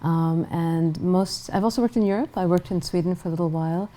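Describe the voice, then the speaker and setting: A middle-aged woman from Guyana speaks as a television interview guest, her voice low and warm-toned. She answers in a measured, thoughtful way, often pausing on an "um" or restarting a phrase. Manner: measured, with frequent restarts and ums. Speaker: interview guest, human rights director.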